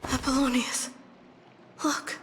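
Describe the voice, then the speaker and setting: High, breathless dying warrior girl. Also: flat delivery, slow pace, in a large hall, music in the background. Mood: fading tenderness. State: weak, breathless. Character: dying young female warrior, gentle and devoted. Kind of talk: dramatic game voice acting.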